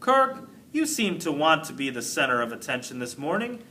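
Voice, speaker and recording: A man voicing a patient, brisk woman schoolteacher who addresses her class firmly in a children's storybook. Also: a little high, big pitch swings, pushed and bright, a little hiss or hum. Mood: brisk, instructing. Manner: firm, instructing classroom address.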